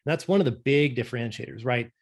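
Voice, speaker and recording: A man's voice: medium pitch, fast. Norwegian-born man explaining patiently. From Norway. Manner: explains patiently with frequent "right?" tag questions. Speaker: guest, company director. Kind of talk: conversational explaining.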